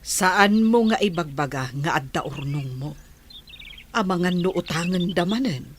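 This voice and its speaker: A woman's voice: fairly low pitch, expressive, normal speed, a little hiss or hum, close to an everyday voice. A woman playing a grumbling, nosy but obliging middle-aged village aunt in an Ilocano radio drama. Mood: prying.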